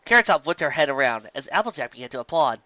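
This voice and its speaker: Bright male storyteller, dull lo-fi recording. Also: mid-range voice, lively intonation, fast, no room sound. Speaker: narrator. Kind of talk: scripted fan fiction narration.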